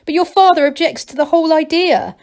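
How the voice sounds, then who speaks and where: A woman's voice: extremely high pitch, brisk, darkish tone, pitched above the performer's own voice. A woman voicing a cautious, patient male gnome leader with a hesitant, fussing manner in a children's storybook. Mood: careful, instructing.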